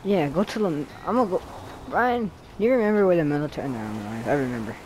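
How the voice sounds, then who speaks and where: A man giving excited, panicky commentary while playing a driving game, repeating words rapidly when things go wrong. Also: a little high, a little hiss or hum. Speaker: gameplay commentator.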